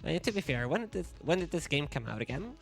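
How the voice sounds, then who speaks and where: A man's voice: on the high side, sing-song, fast talker, vocal fry, soft background music. A man teasing and joking wryly as a co-commentator, a little distant from the mic. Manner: wry, teasing. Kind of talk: casual banter.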